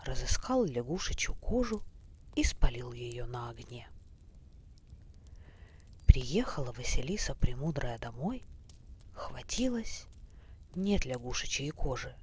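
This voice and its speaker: Calm male storyteller, very slow hushed bedtime narration, faint fire crackle behind. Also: medium pitch. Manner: hushed, breathy, unhurried. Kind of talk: bedtime fairy-tale narration. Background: faint fireplace crackle.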